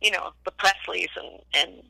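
Bright, effusive older woman on a call. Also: on the low side, normal speed, vocal fry, no room sound, rough recording. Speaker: interview guest, former child actress. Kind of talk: casual interview chat. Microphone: remote call.

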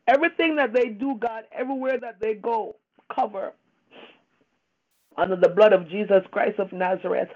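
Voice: medium pitch, a little mellow. A prayer leader praying fervently and off the cuff, pleading and emphatic, with repeated invocations and a tearful edge. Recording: dull lo-fi radio-like phone line.

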